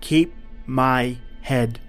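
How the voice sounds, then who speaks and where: A man's voice: medium pitch, very slow. A hunted man narrating, over music. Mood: tense, grave. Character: hunted man narrating his own ordeal, tense and determined. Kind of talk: dramatic first-person narration in old-time radio style. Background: background music.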